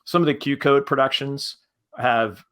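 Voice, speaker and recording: A man's voice: medium pitch, fairly even intonation, measured pace, sharp tone. A man talking casually on a panel as a podcast creator, hesitant and often repeating his words.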